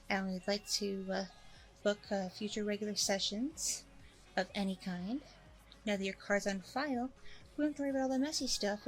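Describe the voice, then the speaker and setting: A woman's voice: on the high side, natural voice, low-quality recording. A woman playing a smug, self-promoting training center owner in a chatty ASMR roleplay, with breezy, self-satisfied customer-service politeness. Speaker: roleplay audio performer.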